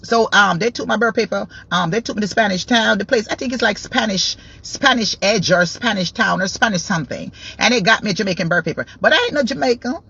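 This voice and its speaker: Lady doing a stubborn lady swearing she's from down south, lower voice, husky, dull lo-fi. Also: fast talker, few pauses, sharp tone, heavily acted. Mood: defiant. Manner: repetitive, insistent, dismissive. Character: defiant woman insisting she's American.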